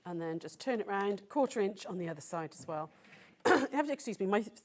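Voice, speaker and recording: A woman with a croaky voice from a cold, demonstrating quilting step by step on a live show. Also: mid-range voice, lively intonation, fast, slight background noise. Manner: patient step-by-step instruction with frequent "okay?" checks. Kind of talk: sewing demonstration. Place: TV studio.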